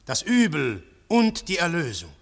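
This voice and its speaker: A measured, earnest narrator. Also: male voice, a little high, wildly swooping pitch, exaggerated voice. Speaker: narrator and character. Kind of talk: first-person radio play narration.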